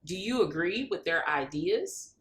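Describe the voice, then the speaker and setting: A woman reading a children's picture book aloud in an expressive, deliberate way, speaking directly to young listeners. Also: lowish voice. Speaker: storybook reader. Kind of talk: animated children's read-aloud.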